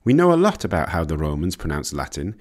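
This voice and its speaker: Male educational narrator, low register, animated pitch movement, scripted delivery. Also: quick pace. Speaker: narrator of an educational language video.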